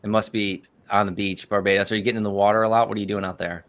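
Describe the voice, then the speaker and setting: A man's voice: fairly low pitch, little pitch movement, racing, a bit bright. A man hosting a casual crypto livestream, with loose, upbeat patter full of fillers. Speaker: livestream host.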